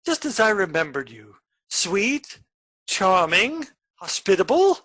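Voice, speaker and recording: A menacing criminal nephew in a radio stage comedy, acted by a man. He broods theatrically and turns mock-sweet, with pitch that swings widely from phrase to phrase. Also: very animated, takes it slowly, pushed and bright, slightly rough, exaggerated voice.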